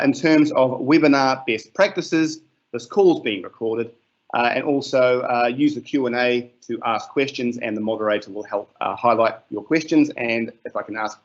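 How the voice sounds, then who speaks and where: A middle-aged New Zealand man presents a teaching webinar over a video call. He talks in a relaxed, unhurried way, full of fillers. The call audio is somewhat muffled. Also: medium pitch.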